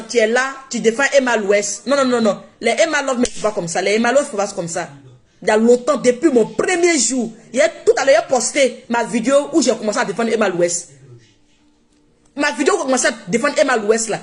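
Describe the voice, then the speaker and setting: A woman whose first language is not French, speaking emphatically and repeating herself in a casual live-stream monologue on her phone. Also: fairly high pitch. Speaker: social media live streamer.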